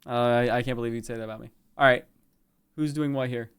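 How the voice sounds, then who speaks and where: A man with a medium-pitched voice hosts a stream. He talks casually, in a joking, bantering style. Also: fairly even intonation, quick pace, clear, resonant tone. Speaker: streamer and co-host.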